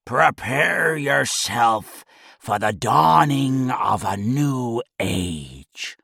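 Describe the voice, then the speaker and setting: A man voicing a stooped, short-tempered old male scientist who barks commands in a rasping voice. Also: medium pitch, expressive, very slow, pushed and bright, no echo, studio quality, voice pushed up, full character voice. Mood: grandiose. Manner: commanding, snapping.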